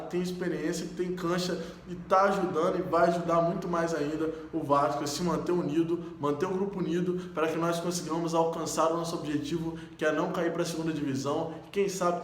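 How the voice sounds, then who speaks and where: A man with a Brazilian accent hosting a fan channel, giving a casual sports news roundup as an eager fan who calls the club "ours.". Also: fairly high pitch. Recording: roomy echo.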